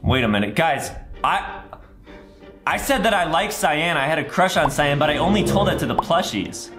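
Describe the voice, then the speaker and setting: Male gaming host, twenties, playful and over-dramatic, lively swings in pitch, recorded in a roomy space. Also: normal speed. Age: 20s. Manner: exaggerated, theatrical reactions. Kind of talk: playful video game commentary for children. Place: small indoor room. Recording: roomy sound with background music. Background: music.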